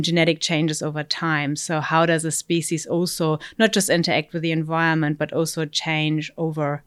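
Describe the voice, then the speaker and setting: A woman's voice: low voice, smooth. A middle-aged woman from Germany, a fish breeding scientist answering interview questions with many fillers and asides. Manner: thoughtful, explains with many fillers and "you know".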